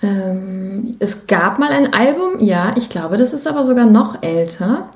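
A woman's voice: medium pitch, expressive, measured pace, a little mellow, slight background noise. A woman speaking as an interview guest in casual chat, hesitant and thoughtful in how she puts things. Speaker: podcast guest, musician and songwriter.

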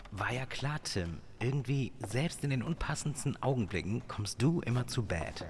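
A man's voice: medium pitch, lively intonation, moderate pace, close to an everyday voice. A man playing a dry-witted member of an adventuring crew in casual radio play dialogue. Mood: teasing. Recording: loud background noise.

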